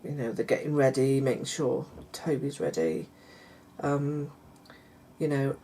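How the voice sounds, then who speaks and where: A middle-aged woman, chatting casually to her viewers in a croaky voice, hesitant with many fillers and restarts. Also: rumbling low, animated, measured pace, a little hiss or hum. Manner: warm confiding tone toward viewers. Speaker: vlogger. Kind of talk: casual chat to camera.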